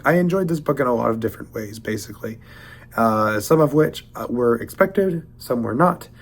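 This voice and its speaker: Gravelly man with a stuffy cold voice. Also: mid-range voice. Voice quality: slightly stuffy voice. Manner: hesitant, rambling, searching for words. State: getting over a cold. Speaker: book reviewer. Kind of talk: casual spoken book review.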